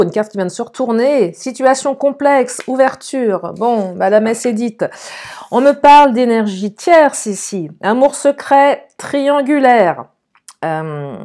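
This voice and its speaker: A slightly hoarse woman gives an informal love card reading, chatting to viewers and thinking aloud. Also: medium pitch, darkish tone. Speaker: online love card-reading guide and host.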